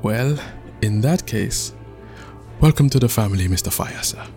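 A male storyteller puts on a nervous father and village chief from a folktale. He stammers meekly and his pitch swings about, with faint music underneath. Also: expressive, normal speed, gravelly. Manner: stammering, meek, squealing weakly.

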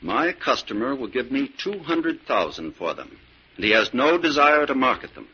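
An actor playing a suave, scheming young heir in a 1940s radio drama, with a theatrical, calculating manner. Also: male voice, mid-range voice, big pitch swings, normal speed, breathy edge. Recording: muffled old 1940s radio recording.

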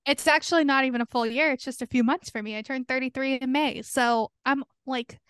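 A woman's voice: high-pitched, fairly even intonation, fairly quick, fairly bright tone, clear, resonant tone, dry recording. A woman in her early thirties co-hosting a podcast, bubbly and giggly in casual chat. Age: 30s. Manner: bubbly and giggly, lots of fillers. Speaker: podcast co-host.

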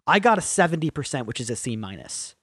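A young man co-hosting a podcast, chatting casually, rambling, swearing freely and getting animated in bursts. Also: mid-range voice, fast talker, husky, no room sound, studio quality. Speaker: podcast co-host. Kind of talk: casual chat.

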